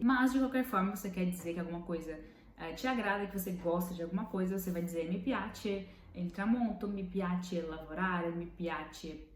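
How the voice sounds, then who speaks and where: Low-voiced Brazilian woman explaining patiently. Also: darkish tone. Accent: Brazilian Portuguese. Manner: patient, thinks aloud with fillers, slows to spell out Italian examples. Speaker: language learning vlogger. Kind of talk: casual explanatory teaching. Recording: roomy room with faint background noise.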